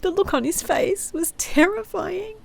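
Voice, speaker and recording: A woman's voice: very high voice, unhurried, vocal fry, full character voice. A woman playing a weary, sardonic female private investigator, speaking in a dry, confessional audio diary style for an audio drama. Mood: bleak, dejected.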